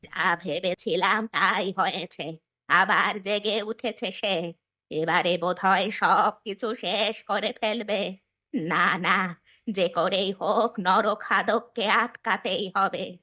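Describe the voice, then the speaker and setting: A grave old village healer, acted by a woman in a horror cartoon. She talks slowly and ominously, with a bright, forceful voice that sounds wary and knowing. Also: mid-range voice, steady tone. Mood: alarmed, grave. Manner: ominous, deliberate storytelling.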